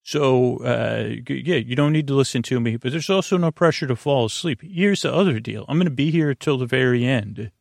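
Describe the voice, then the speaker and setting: Man, from the US, heavy vocal fry, dry close-miked sound, rambling sleep podcast host, halting and tangent-prone. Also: medium pitch, lively intonation, dry recording. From United States. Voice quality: creaky, gravelly. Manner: meandering, halting, tangent-prone with stammered restarts. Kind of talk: rambling bedtime podcast monologue.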